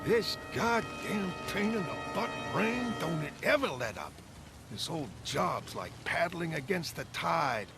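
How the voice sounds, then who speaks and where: A man's voice: on the high side, expressive, a little slow, gravelly. A man voicing a brash, tough-talking young woman cab driver, blunt, streetwise and combative. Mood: brash, irritated. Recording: loud music underneath.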